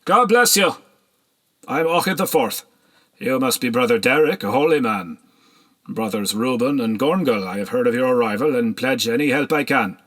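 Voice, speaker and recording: A man's voice: medium pitch, animated, moderate pace, a bit bright. A man reading a storybook, voicing a cold, sly nobleman on horseback who speaks in a brusque, commanding, probing way. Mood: pious pledge.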